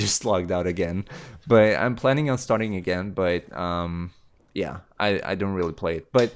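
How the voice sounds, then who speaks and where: A young man in his late teens with a very low voice, chatting through viewer questions. His talk rambles loosely, full of ums and restarts. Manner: rambling, with many fillers and restarts. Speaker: YouTube creator. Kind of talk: casual Q&A chat.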